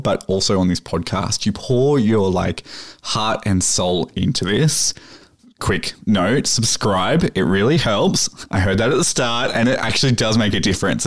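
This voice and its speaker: Man, Australian accent, slightly low pitch, enthusiastic, complimentary, conversational podcast interviewer. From Central Coast, Australia. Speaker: podcast producer acting as interviewer.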